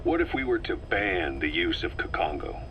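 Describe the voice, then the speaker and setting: An actor playing a tough, pragmatic military officer in a video game, blunt, skeptical and matter-of-fact. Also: male voice, deep voice, sing-song, moderate pace, muffled recording. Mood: probing, grim. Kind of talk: dramatic video game voice acting. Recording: faintly noisy taped field recording.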